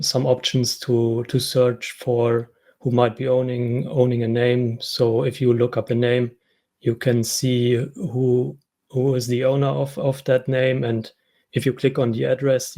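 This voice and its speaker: A man from Germany with a German accent, giving an informal tech talk in a hesitant, halting way with repeated words. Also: medium pitch, a little mellow. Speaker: conference speaker, software developer.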